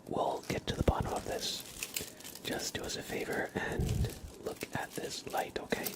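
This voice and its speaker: A man streaming live ASMR, speaking softly and often in a whisper, with a playful, deadpan roleplay manner. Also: normal speed.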